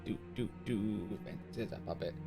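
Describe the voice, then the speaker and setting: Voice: low voice, normal speed, vocal fry. A streamer giving casual, sarcastic reaction commentary with swearing and sing-song asides. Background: music and audio of the video being watched.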